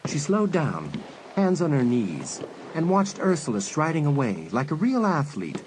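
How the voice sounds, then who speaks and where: A man's voice: fairly high pitch, huge pitch swings, unhurried, darkish tone, slight background noise, rough recording. A man narrating a suspense story as a dramatic scripted audiobook. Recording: music bed under narration.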